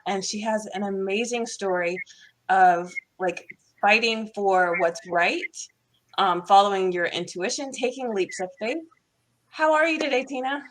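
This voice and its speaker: A woman's voice: mid-range voice, fairly bright tone, slight background noise, low-quality recording. A woman hosting an interview podcast in an upbeat, encouraging way over a video call. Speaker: podcast host.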